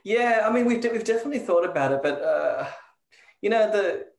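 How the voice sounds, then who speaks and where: A man's voice: sits high, animated, a little fast. Australian guy in a band, easygoing, stop-start talker, roomy echo on the audio. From Sydney, Australia. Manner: hesitant, repeats words, laughs often. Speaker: band member guest. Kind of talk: casual interview chat. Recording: roomy echoey sound.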